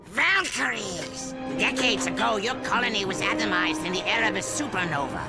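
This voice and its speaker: A man's voice: high voice, lively intonation, normal speed, loud music behind the voice. An actor playing a scheming male villain who theatrically announces hired specialists in a game cutscene. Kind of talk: video game cutscene acting. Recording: loud game score behind.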